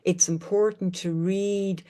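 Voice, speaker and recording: A woman interviewing a poet, warm and encouraging, often affirming what she hears. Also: medium pitch, steady tone, takes it slowly. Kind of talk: book talk interview.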